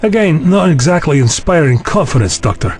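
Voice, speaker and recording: A man's voice: fairly high pitch, very animated, fairly quick, a little mellow. A man voicing a sardonic, skeptical young official in an audio drama, dry and sarcastic.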